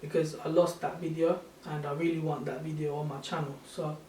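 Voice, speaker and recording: A soft-spoken man in his thirties, originally from Sri Lanka, casually walking through his own screen in a loose, rambling way. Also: on the high side, little pitch movement, fairly quick, a little hiss or hum, low-quality recording. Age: 30s. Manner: rambling, loose and self-correcting. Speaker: channel host. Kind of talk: casual screen-share walkthrough.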